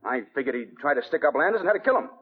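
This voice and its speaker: Through a tinny old radio recording comes a defiant 1940s hoodlum. He is animated and fairly high in pitch, sneering out slangy tough-guy retorts. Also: racing, husky. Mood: indignant, sneering. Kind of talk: 1940s radio drama acting.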